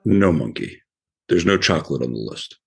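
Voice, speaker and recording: A man voicing a sensible, patient male elephant in a children's cartoon, explaining things calmly. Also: very deep voice, normal speed, vocal fry, loud music behind the voice, full character voice. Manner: patient, explaining, mildly fussy.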